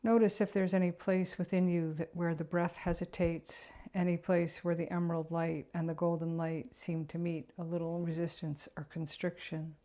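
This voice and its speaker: A woman narrating a guided meditation in a gentle, unhurried, reflective way. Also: on the low side, level tone.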